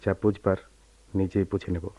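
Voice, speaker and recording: On a dull, noisy radio drama recording, an actor plays a proud, embittered man. His voice is fairly low, and he declaims theatrically, brooding over his wounded pride. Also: level tone, moderate pace, lightly acted. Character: bitter, proud man who lost his right leg, brooding. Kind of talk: Bengali radio drama acting.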